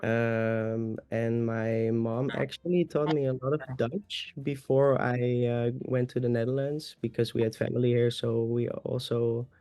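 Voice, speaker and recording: A young man from Austria speaks English as a second language, his first being German. He chats openly and at length, with fillers and restarts, at a middle pitch. The call audio is dry and close, with faint music. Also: flat delivery, measured pace, few pauses, warm tone, no room sound. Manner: open, chatty, with fillers and restarts. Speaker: guest. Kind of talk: casual conversation.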